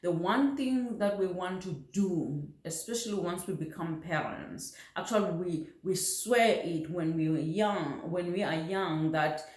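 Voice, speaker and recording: A woman's voice: on the low side, unhurried, hardly any pauses, a little mellow. A middle-aged woman with a South African accent talks casually and reflectively to camera, explaining things like a parenting vlogger. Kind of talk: casual personal talk to camera. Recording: roomy, echoey home recording.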